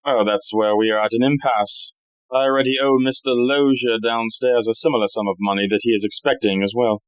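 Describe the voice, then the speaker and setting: Man playing a wry, guarded thief, medium pitch. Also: steady tone, a little fast, smooth, dull audio, lightly acted. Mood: wry, defensive. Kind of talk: stage-like audio drama acting.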